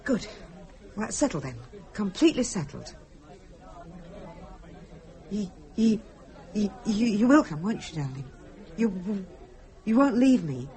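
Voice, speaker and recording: An actress playing an anxious, needy wife in a radio drama, with a hesitant, pleading and polite manner. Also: female voice, medium pitch, big pitch swings, measured pace, pauses often, mellow.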